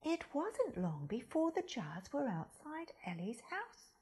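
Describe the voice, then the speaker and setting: A woman reading a children's story aloud in a dramatic, deliberate way, with hushed emphasis. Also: sits high, wildly swooping pitch.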